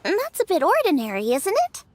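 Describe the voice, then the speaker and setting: A woman's voice: very high-pitched, huge pitch swings, a little fast, faint music behind, full character voice. A woman voicing an eager, earnest young female chef who gets a little flustered.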